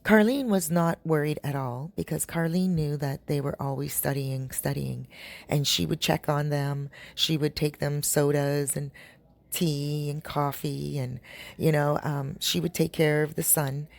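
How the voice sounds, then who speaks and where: A woman with a raspy, dry-throated voice, chatting to her viewers as she tells a long story. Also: sits low, fairly even intonation, a little slow, a little mellow. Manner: chatty, addresses viewers directly. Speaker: storytelling vlogger. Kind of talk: casual storytelling. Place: home in a Caribbean town.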